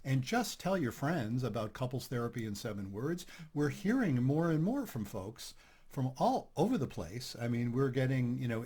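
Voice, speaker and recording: An older man co-hosts a podcast about couples, speaking in a relaxed, chatty manner. His voice sits at an ordinary middle pitch for a man. He pokes gentle fun at himself as he talks. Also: breathy edge. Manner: relaxed, chatty, self-deprecating humor. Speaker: podcast host, couples therapist. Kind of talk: casual podcast chat.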